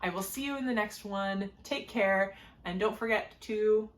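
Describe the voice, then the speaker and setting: A young woman hosting a knitting video podcast, chatting casually with lots of fillers, her voice a little hoarse. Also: medium pitch, measured pace. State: slightly hoarse, losing her voice. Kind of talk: casual chat to camera. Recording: echoey room.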